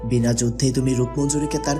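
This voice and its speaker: A man's voice: medium pitch, fairly even intonation, fast talker, music in the background, close to an everyday voice. A man voicing an earnest, devoted young prince in a fairy-tale cartoon, speaking in a formal dramatic way. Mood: admiring.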